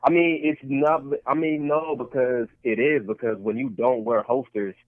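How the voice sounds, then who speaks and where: Casual male podcast co-host, insistent and repetitive, tinny dry lo-fi sound, mid pitch. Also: steady tone, normal speed, no room sound. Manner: repetitive, argumentative, restarts points. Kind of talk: casual debate chat.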